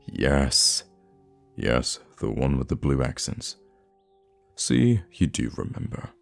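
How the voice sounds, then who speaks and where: Man voicing a very deep, gravelly theatrical supervillain. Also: very animated, slow pace, soft background music, full character voice. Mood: indignant, distracted. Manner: dramatic, flustered, teasing, stammering when thrown off. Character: theatrical male supervillain fond of his hero nemesis. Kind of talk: ASMR roleplay monologue.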